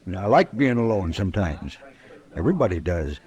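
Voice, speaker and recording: An actor playing an evasive, self-important crooked judge in a radio western, hesitant and blustering. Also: male voice, low voice, big pitch swings, a little fast, darkish tone. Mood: nervous, insistent. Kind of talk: old-time radio western acting.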